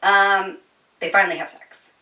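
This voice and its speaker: Bright-voiced casual woman in an echoey room. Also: medium pitch, normal speed, recorded from a distance. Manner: informal and slangy with frequent swearing; animated storyteller, voicing quoted dialogue. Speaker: book reviewer. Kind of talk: casual chatty book recap. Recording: echoey room, somewhat off the mic.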